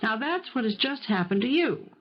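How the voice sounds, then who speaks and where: An actress playing a practical, no-nonsense housekeeper in an old-time radio drama, speaking firmly and crisply, as if giving a lecture. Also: female voice, a little high, sing-song, normal speed. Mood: lecturing. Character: brisk, bossy, no-nonsense housekeeper, steady and practical. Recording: muffled 1970s radio broadcast.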